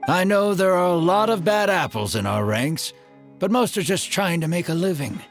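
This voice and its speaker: Earnest, expressive older man. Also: sits high, normal speed, vocal fry, faint music behind, full character voice. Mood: eager, pleading. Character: older male client and ex-mercenary, humble, earnest, evasive. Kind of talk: acted video game dialogue.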